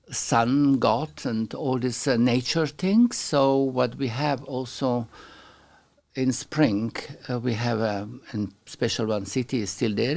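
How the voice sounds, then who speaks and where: A middle-aged man from Slovenia speaks English with a clear Slovenian accent and a gravelly, fry-tinged voice. He is a guest in a relaxed interview and talks in halting second-language English, often pausing to find a word. His pitch sits in a middle range. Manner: halting, searches for English words. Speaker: guest artist. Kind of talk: casual interview chat.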